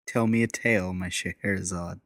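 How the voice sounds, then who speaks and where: A man's voice: deep voice, fairly even intonation, fairly quick, a bit bright, no echo. Suave secret agent, close dry voice, acted by a man. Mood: flirtatious. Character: male steampunk secret agent, suave, guarded, flirtatious. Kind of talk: dramatic audiobook dialogue.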